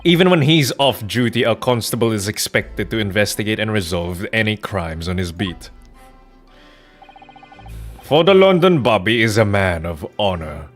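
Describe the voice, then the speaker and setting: A man's voice: on the low side, animated, moderate pace, soft background music, pitched below the performer's own voice, natural voice. A man voicing a formal, pompous Victorian courtroom official in a video game, with wordy delivery. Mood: formal, grandiose.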